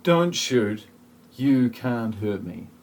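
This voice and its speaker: Man as a slow, solemn otherworldly messiah. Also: mid-range voice, big pitch swings, darkish tone, full character voice. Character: solemn otherworldly messiah passing judgement on humanity.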